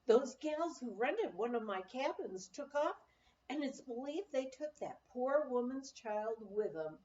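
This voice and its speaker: An excitable country gossip from a storybook memoir, played by a woman reader. She exclaims in folksy phrases in a high voice. The recording is roomy and slightly dull. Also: unhurried, recorded from a distance, slight background noise. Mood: gossipy, excited.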